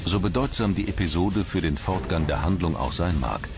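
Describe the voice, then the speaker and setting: A man reading scripted documentary narration in a measured, formal manner. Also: deep voice. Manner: measured, formal read delivery. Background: background music.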